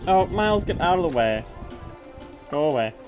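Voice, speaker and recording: A man's voice: a little high, very animated, normal speed, music in the background. A man giving casual commentary as he streams a game.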